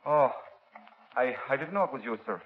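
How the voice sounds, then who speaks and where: A man's voice: mid-range voice, expressive, moderate pace, natural voice. Actor as folksy Irish night watchman, muffled old radio. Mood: nervous. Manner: folksy, rustic speech. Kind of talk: 1930s radio drama acting. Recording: muffled, noisy 1930s radio recording.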